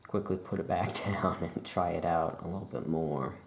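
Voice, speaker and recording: A soft-spoken ASMR performer talking as an easygoing, chatty witch shopkeeper, rambling and trailing off in an unhurried way. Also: steady tone. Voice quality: soft-spoken, low creaky voice. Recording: roomy echo, faint background noise.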